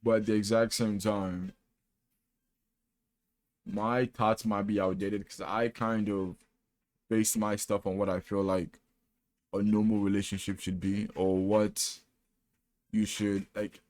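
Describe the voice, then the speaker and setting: A young man in his twenties talks straight to his viewers in a loose, rambling monologue. His voice sits a little low, and he is candid and self-deprecating. He restarts sentences and drops casual slang as he goes. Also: little pitch movement, takes it slowly, pauses often, a little mellow, dry recording. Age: 20s. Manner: casual slang, says "bro" often. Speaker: vlogger. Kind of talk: personal reflection monologue.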